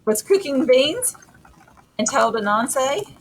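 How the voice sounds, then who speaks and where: A woman's voice: high-pitched, big pitch swings, takes it slowly, rough recording. A middle-aged woman co-teacher talking through a lesson in an informal, explanatory, conversational manner. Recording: clear background noise.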